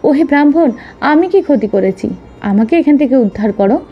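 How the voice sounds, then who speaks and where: A woman's voice: high-pitched, animated, moderate pace, highs cut off, faint music behind, voice pushed up. A woman voicing a grateful, generous monkey in a children's story. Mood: pleading.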